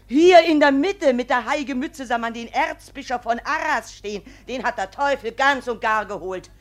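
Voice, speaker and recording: A woman acts a stubborn village widow in a classical stage-style radio play. She is high-pitched and indignant, laying out her complaint with plaintive, long-winded emphasis. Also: moderate pace, close to an everyday voice. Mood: plaintive, insistent. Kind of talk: classical verse radio drama.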